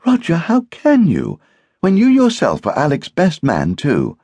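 A man voicing a brisk, opinionated English wife and hostess in an audiobook, with an arch, emphatic manner. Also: medium pitch, wildly swooping pitch, darkish tone, slightly rough, dry recording, pitched above the performer's own voice, exaggerated voice. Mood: protesting.

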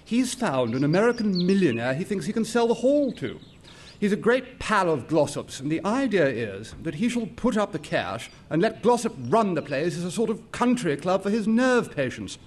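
A hearty young British gentleman of the country-house set, high in pitch and very animated. He is eager and excitable, in radio comedy style, with faint background noise. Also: moderate pace. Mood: confiding. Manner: hearty, excitable, upper-class. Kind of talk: comic audio drama acting.

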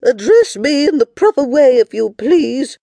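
A woman's voice: sits high, sing-song, a little slow, gravelly, mellow, dry recording, studio quality, pitched above the performer's own voice, full character voice. A woman voicing a haughty, moody cow queen with a pompous, commanding manner in a children's story. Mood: indignant, commanding.

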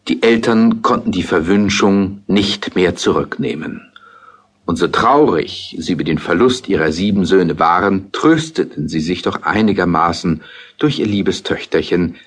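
A husky-voiced man narrating a fairy tale in a measured, storytelling manner. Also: low voice.

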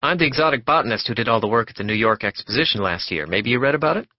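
A man putting on a loud, boastful chatterbox. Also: expressive, lightly acted. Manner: booming, pushy, rapid-fire. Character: loud brash heavy-set male botanist, boastful chatterbox. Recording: dull dry studio recording.

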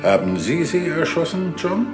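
An actor playing a hesitant, sceptical forensic doctor who mutters haltingly in a German audio drama. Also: male voice, on the high side, sing-song, reverberant, over music. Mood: incredulous.

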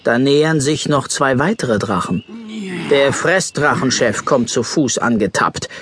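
A man's voice: medium pitch, lively intonation, unhurried, crowd noise. A man narrating a scripted children's story expressively.

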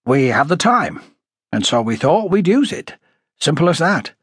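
A man voicing a courteous, matter-of-fact male police detective in audiobook dialogue, formal and deliberate. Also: a little high, sing-song, a bit bright, husky, dry recording, clean studio sound, pitched above the performer's own voice, heavily acted.

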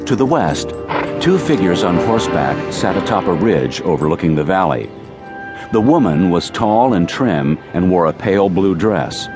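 Casting: male narrator, dramatized Western audiobook, deep pitch, prominent music bed. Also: big pitch swings, normal speed.

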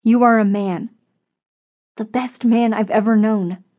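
A woman's voice: fairly high pitch, moderate pace, a little mellow, lo-fi sound, natural voice. A woman narrator voicing a strong, earnest, fiercely loyal woman in an audiobook story. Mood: stern.

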